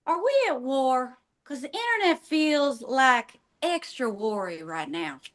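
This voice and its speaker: A woman's voice: sits high, lively intonation, a little slow, fairly bright tone. A woman acting a flighty, eager young female assistant with a casual, ditzy manner in a comedy table read. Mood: flustered.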